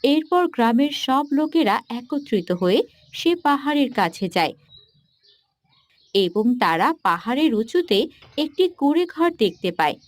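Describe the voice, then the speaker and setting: High, animated woman storyteller. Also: a little slow, no echo. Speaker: narrator. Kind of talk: scripted cartoon story narration.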